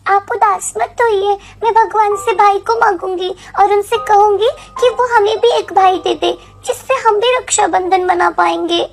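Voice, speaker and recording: A woman's voice: extremely high pitch, fairly even intonation, unhurried, hardly any pauses, soft background music, voice pushed up. A woman voicing an innocent eight-year-old little sister in a story, with childlike, earnest questions. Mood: wistful, hopeful.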